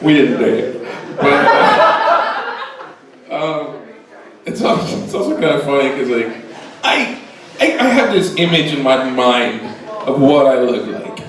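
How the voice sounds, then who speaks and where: A man's voice: mid-range voice, big pitch swings, measured pace, pauses often, over a noisy crowd. A man in his forties doing a rambling, self-deprecating stand-up comedy set. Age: 40s. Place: comedy club stage with audience. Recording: echoey club room from a distance, audience laughter.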